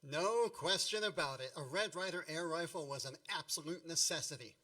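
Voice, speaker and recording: A man performs a wry storyteller looking back on boyhood in a radio play. The voice is fairly high and bright, relishing mock-epic phrasing. It sits slightly away from the mic. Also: normal speed, distant mic. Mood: wry scheming. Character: grown man wryly recalling his boyhood. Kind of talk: scripted radio play narration.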